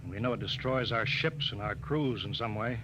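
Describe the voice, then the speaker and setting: Dull noisy 1950 radio sound, male actor as spaceship captain, authoritative, brisk, world-weary, radio drama. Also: fairly low pitch, close to an everyday voice. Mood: frank, assured. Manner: brisk, authoritative, clipped orders. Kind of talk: 1950s radio drama acting.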